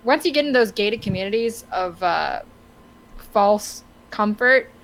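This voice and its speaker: A woman with a medium-pitched voice chats informally as a podcast co-host. Her speech is conversational and unscripted. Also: animated, a little slow, rough recording. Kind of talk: casual chat.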